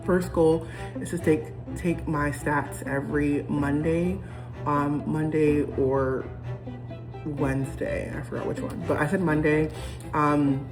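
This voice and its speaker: A young woman vlogging in a casual, rambling, reflective way, with lots of ums and restarts as she talks to camera. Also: sits low. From United States. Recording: music playing behind.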